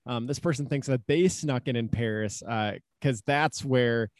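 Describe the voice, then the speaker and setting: A man co-hosting a casual podcast, relaxed and joking, with a lot of ums and uhs. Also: mid-range voice, normal speed, no echo, clean studio sound. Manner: relaxed, joking, many fillers. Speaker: podcast co-host, actuary. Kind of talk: casual chat.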